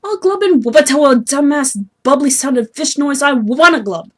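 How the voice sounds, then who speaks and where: A woman's voice: high voice, expressive, normal speed. A woman voicing a haughty young male sea troll who schemes and sulks, pompous and petulant, in amateur webcomic acting. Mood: irritated. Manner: pompous, petulant, self-pitying.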